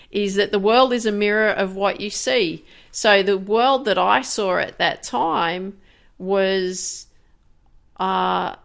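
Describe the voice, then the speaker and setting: A woman in her fifties hosting a podcast, speaking candidly and haltingly in a reflective personal monologue, with many restarts and fillers. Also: mid-range voice, creaky. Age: 50s. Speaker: podcast host.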